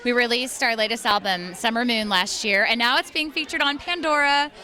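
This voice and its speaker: A woman voice actress and singer chatting in a convention interview, bubbly and quick to laugh. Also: fairly high pitch, sharp tone.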